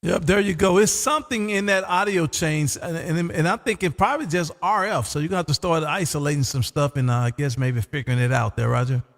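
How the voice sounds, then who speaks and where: Laid-back ham radio host. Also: male voice, a little high, brisk, few pauses, big-room sound. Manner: laid-back, folksy, says "man" often. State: losing his voice. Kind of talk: casual on-air radio chat.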